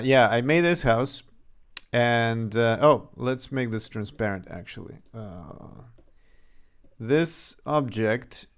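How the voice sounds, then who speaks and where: A young developer from Serbia talks through his work, his light Serbian accent coming through. He speaks slowly, thinking aloud and chuckling now and then. His voice is smooth and clear, picked up at a desk mic in a home workspace. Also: mid-range voice. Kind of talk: casual livestream commentary.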